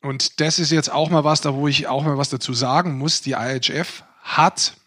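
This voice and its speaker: A man hosting a sports podcast, talking casually and in an animated way. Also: on the high side, moderate pace. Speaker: podcast host.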